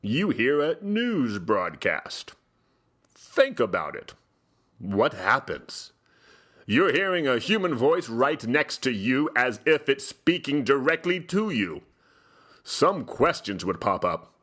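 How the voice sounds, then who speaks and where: A man playing a pompous theatrical gentleman host, giving a mock-solemn dramatic reading with drawn-out pauses. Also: medium pitch, very animated, heavily acted.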